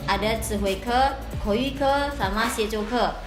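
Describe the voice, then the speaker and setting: Woman teacher, calm and explanatory; echoey room, music bed. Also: fairly high pitch, slow. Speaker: language teacher. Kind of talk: explanatory answers.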